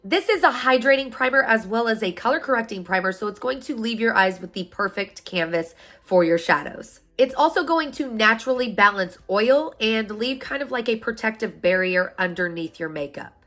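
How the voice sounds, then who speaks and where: Hoarse, stuffy-voiced woman chatting to viewers. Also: a little high, animated, normal speed, few pauses, a bit bright. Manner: upbeat, chatty, addresses viewers directly. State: hoarse and stuffy from a sinus infection. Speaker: beauty vlogger. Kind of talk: product unboxing review.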